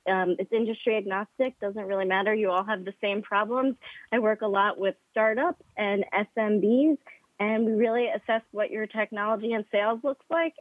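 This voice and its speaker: A woman's voice: medium pitch, dry recording. A woman guest on a radio talk show, speaking in an enthusiastic, confident way. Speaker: guest, consultancy founder. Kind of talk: radio interview. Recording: tinny phone line.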